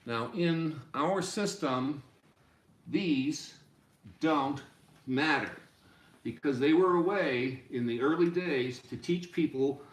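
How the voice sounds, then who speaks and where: A man in his sixties giving an informal music lesson, explaining deliberately and step by step in a small room. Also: fairly high pitch. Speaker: music teacher. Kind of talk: informal instructional explanation at a whiteboard. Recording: roomy, echoey home room sound.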